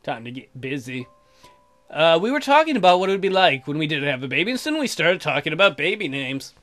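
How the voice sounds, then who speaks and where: A man with a fairly high, bright voice gives casual let's play commentary. He reads game text aloud and riffs on it irreverently, with joking and swearing, over faint music. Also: sing-song, brisk.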